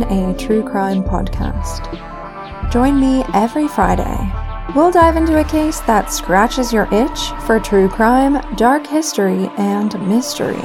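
A woman podcast host reading a scripted promo in a chummy, playful manner. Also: a little high. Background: prominent music.

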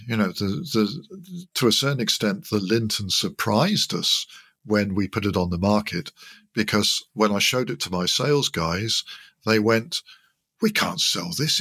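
Expert guest, male, sixties or older. Deepish, creaky voice, thoughtful and halting technical explanations. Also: very animated, pushed and bright, no echo. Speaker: interview guest, loudspeaker designer.

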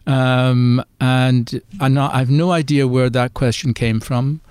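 An older man with an Irish accent, a Jesuit priest answering interview questions in a thoughtful, hesitant way with many pauses. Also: medium pitch, fairly even intonation, darkish tone, clear, resonant tone, dry recording. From Ireland. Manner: hesitant, reflective, many fillers. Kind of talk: interview conversation.

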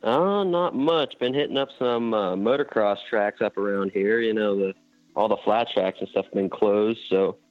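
Low-voiced young guy on a phone call, laid back, lots of um and like, tinny phone sound. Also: normal speed, no echo, soft background music. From Indiana, USA. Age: young adult. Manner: laid-back, rambling with fillers. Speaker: guest rider. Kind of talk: casual phone interview.

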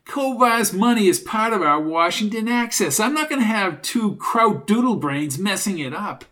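Man playing a brash, high-pitched tough-guy crook. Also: expressive, moderate pace, bright, forceful tone. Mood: irritated, decisive. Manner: blunt, snappy, slangy tough talk. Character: brash, suspicious, short-tempered male American crook.

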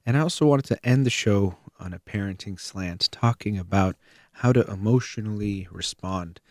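A man hosting a show, giving a reflective, measured talk on parenting with thoughtful pauses. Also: fairly low pitch, darkish tone, no room sound, studio quality. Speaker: host.

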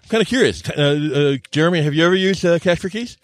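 A man's voice: a little high, expressive, fast, no room sound. A man hosting a casual round-table chat outdoors, stammering and often repeating words as he feels his way through sentences. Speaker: show host. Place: outdoors by a bonfire.

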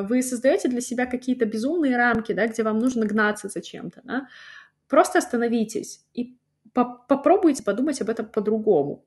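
A woman's voice: a little high, darkish tone. A woman hosting a solo podcast on language teaching, talking casually with many "right?" tags and little laughs. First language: not native in the language she teaches. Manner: chatty, frequent "да?" tags and fillers, laughs now and then. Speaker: podcast host and phonetics teacher.

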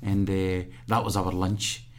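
A man in his fifties from Pakistan, reminiscing in an interview in a halting way full of fillers. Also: sits low, little pitch movement. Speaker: guest.

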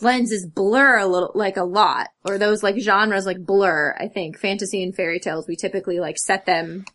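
Woman in casual, halting podcast chat. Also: medium pitch, steady tone, fairly bright tone. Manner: earnest, halting, filler-heavy with frequent "like". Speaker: podcast co-host. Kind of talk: casual chat about fandom and stories.

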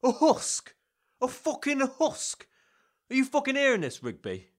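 An actor playing a volatile, foul-mouthed middle-aged gangster boss in a dark comedy crime drama, aggressive and blustering. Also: male voice, high voice, expressive, a little slow. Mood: indignant.

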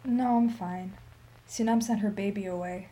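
An actress playing a sorrowful, dignified old Armenian woman in a radio play, telling her story in a solemn, measured, emphatic way. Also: medium pitch, steady tone, mellow, lightly acted. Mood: solemn remembrance.

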